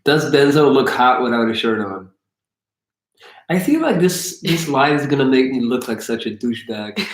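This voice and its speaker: A man's voice: mid-range voice, very animated, moderate pace, echoey room, a little hiss or hum. A man hosting a live question-and-answer stream, joking and teasing while he reads out viewer questions. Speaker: YouTube host.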